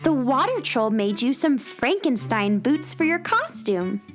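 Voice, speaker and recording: A woman's voice: high voice, very animated, measured pace, faint music behind. A woman playing a friendly, earnest young space girl in a children's radio drama, speaking with childlike eagerness. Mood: cheerful, eager.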